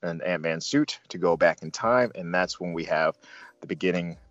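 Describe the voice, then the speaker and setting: A man talks through a casual film review as host, halting and rambling, with bursts of emphasis. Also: low-pitched, fairly bright tone, no echo, lo-fi sound. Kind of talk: casual movie review discussion.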